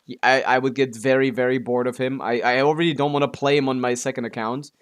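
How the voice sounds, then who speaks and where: A man's voice: medium pitch, flat delivery, fast talker, smooth. Casual, profane man livestreaming a game. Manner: profane, complaining. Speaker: live streamer playing a video game. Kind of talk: casual rambling gaming chat with viewers.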